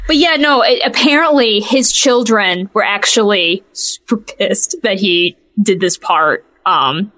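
A woman's voice: mid-range voice, measured pace, few pauses, sharp tone, low-quality recording. A woman co-hosting a podcast in casual chat, often chuckling as she talks. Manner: chuckles often while talking. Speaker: podcast co-host.

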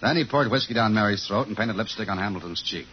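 An actor playing a tough, dogged police detective in a 1950s radio thriller, speaking in clipped, insistent lines. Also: male voice, fairly low pitch, quick pace, slight background noise, close to an everyday voice. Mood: defiant. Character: tough, dogged male police detective defending his wife. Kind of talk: 1950s radio thriller acting, hard-boiled narration. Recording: muffled vintage radio recording with music.

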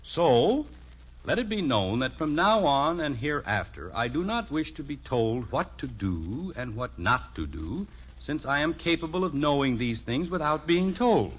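Husky, wry American radio dad. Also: male voice, a little high, very animated, unhurried, exaggerated voice. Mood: dry, bemused. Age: middle-aged. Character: wry, easygoing suburban father of teenagers. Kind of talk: 1950s radio sitcom acting. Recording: muffled old radio recording.

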